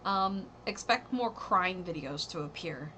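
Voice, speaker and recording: A woman in her thirties talks through a tarot reading on a casual home livestream, her voice bright and forward. She rambles, hesitates and fills gaps with ums and likes, over a faint hiss in the background. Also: lowish voice, lively intonation, unhurried, low-quality recording. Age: 30s. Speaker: tarot reader and streamer.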